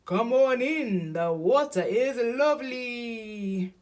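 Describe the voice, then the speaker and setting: A friendly storybook hippo acted by a woman. The delivery is emphatic and reassuring, raised in pitch and full of movement. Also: expressive, slow. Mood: welcoming. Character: friendly, welcoming hippo, bossy when alarmed.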